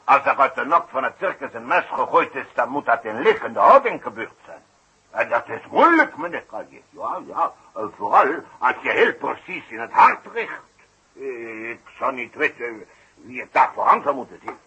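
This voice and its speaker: An actor playing a deferential, excitable circus expert, stammering in a husky, sharp voice, in 1960s radio drama. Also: male voice, medium pitch, normal speed, full character voice, poor audio. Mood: flustered, pondering. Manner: stammering, obsequious. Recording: old radio recording.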